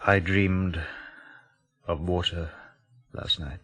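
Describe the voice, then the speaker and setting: A man's voice: low-pitched, steady tone, slow, a bit bright, husky, soft background music, exaggerated voice. An actor playing a besieged, desperate, educated man in a radio drama, brooding and parched. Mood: weary, reflective.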